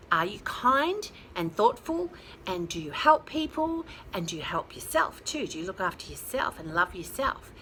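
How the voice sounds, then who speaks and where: A woman's voice: medium pitch, sharp tone, slight background noise, poor audio. A woman reading a picture book expressively to young children and explaining things simply. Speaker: children's storyteller.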